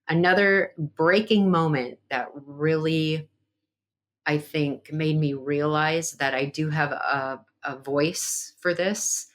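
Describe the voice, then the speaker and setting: Podcast guest: woman, low voice, earnest, reflective, conversational. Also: slow. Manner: earnest and reflective, with frequent fillers. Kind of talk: conversational interview.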